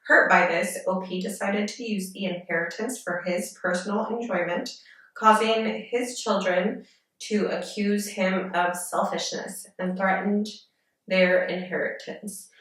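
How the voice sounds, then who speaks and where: A woman's voice: mid-range voice, fairly even intonation, a little slow, fairly bright tone. Lady podcast host chatting, super echoey room, sounds kinda far from the mic. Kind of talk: casual chat and summarizing forum posts.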